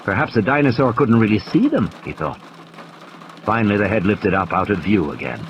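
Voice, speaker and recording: A man's voice: on the low side, very animated, a little fast, dull audio, background noise. A man reading a thriller audiobook as a dramatic scripted narration. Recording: clear rain and storm effects behind. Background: rain, vehicle and ambience sound effects.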